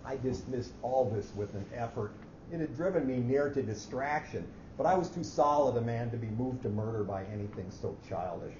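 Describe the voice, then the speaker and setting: A man's voice: medium pitch, animated, moderate pace, darkish tone, natural voice. A man playing a fussy, precise office clerk who coolly plots murder, speaking formally in a staged story reading. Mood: aggrieved. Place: echoey hall at a live reading. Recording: muffled, noisy, echoey hall recording.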